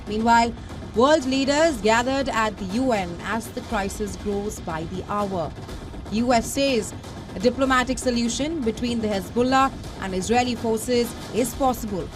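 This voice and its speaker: A woman with a high voice narrates a scripted news report. Her delivery is formal and measured, and music plays clearly behind her. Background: music bed.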